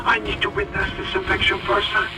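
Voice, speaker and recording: An actor playing an obsessed scientist in a fervent, theatrical monologue. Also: male voice, high voice, level tone, a little fast, vocal fry, very noisy. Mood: obsessive. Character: obsessed mad scientist, rapturous and coldly curious. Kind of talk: dramatic recorded monologue.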